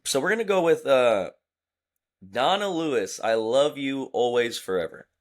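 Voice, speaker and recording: Man in his twenties, podcast co-host, animated pitch, playful hype, casual banter. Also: normal speed. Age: 20s. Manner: playful, hyped, boastful banter.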